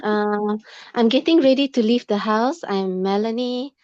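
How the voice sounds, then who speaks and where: A woman from Malaysia greeting a meeting over a video call, brisk as she heads out of the house. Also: darkish tone, dry recording. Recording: dull low-fi call audio.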